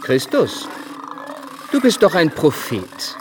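A jeering male tormentor in a radio play, swooping wildly in pitch and drawing out the taunts slowly. It rings through a long, noisy hall reverb. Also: wildly swooping pitch, exaggerated voice. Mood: jeering, taunting. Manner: taunting, theatrical. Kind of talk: radio drama acting.

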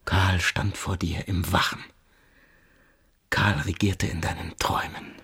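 An actor playing a scheming, flattering younger brother in a German radio play, insinuating and theatrical, often whispering. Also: male voice, sits low, a little slow, gravelly, husky, exaggerated voice. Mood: insinuating, hushed.

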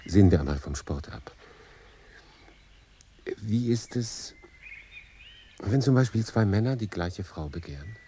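A man's voice: lowish voice, lively intonation, measured pace, long pauses, mellow, slight background noise. An actor in his late thirties playing a cool, urbane English consul in a German radio drama, thoughtful, dry and understated. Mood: curious, probing. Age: late 30s.

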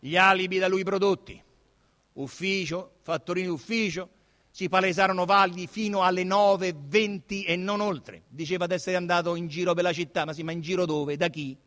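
A man with a Roman accent giving an emphatic, declamatory, expressive theatrical reading of literary narration. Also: high voice, a little fast. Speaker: stage actor reading a novel as narrator. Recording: reverberant hall.

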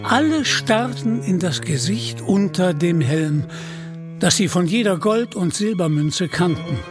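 Guy reading a dramatic story, expressive, takes his time, highish voice. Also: a little slow, over music. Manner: expressive, voices characters in dialogue. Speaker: audiobook narrator.

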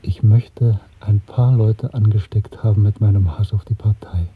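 An actor playing an earnest party clerk with secret rebellion in him, speaking in a measured, insistent way in a radio drama. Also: male voice, fairly low pitch, fairly even intonation, husky. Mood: bitter, urgent. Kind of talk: German radio drama acting. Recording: muffled, dry 1970s radio drama recording.

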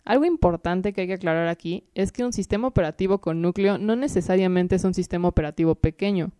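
A young woman as guest expert, giving a measured, lecture-like scripted explanation that lists points one by one. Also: lowish voice, warm tone, no room sound, studio quality.

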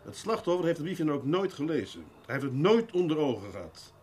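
A man playing a shrewd, seasoned police detective in a radio drama, speaking deliberately with thoughtful hesitations in a fatherly way. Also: a little high, very animated, darkish tone. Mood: musing, shrewd. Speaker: actor in a detective radio play. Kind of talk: naturalistic radio drama dialogue. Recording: audible background noise.

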